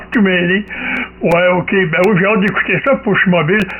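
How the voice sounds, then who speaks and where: A man's voice: high-pitched, a little slow, fairly bright tone, poor audio. A man with a Québécois accent, chatting casually as an amateur radio operator and repeating short affirmations. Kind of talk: casual radio contact chat. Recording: faint radio hiss and ambience.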